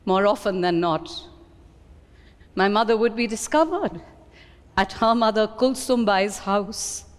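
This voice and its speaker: A woman with an Indian accent giving a deliberate, expressive dramatic reading of a memoir in a hall. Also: medium pitch. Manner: deliberate, expressive storytelling delivery. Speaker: reader at a book event. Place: live reading in an event hall. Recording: reverberant hall.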